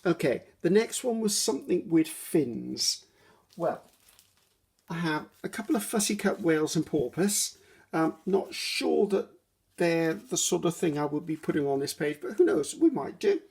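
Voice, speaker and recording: A woman's voice: fairly low pitch, sing-song, unhurried, a little mellow, breathy edge. A woman hosting a casual craft video at her table at home, rambling and correcting herself with frequent ums. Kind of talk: casual chatty craft walkthrough.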